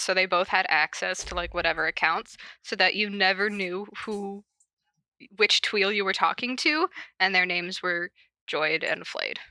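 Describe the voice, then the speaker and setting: A woman with a bright, fairly high voice hosts a casual reading podcast. She takes her time, sounding informal, giggly and self-mocking, in a dry, close recording. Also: no room sound. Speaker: podcast host introducing fan fiction readings. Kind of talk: casual chat with read-out summaries.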